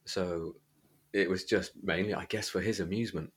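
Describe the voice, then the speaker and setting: A man hosting a podcast, talking casually about books in a hesitant, halting way. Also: sits low, fairly even intonation, breathy edge. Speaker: podcast host. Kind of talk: casual book discussion chat.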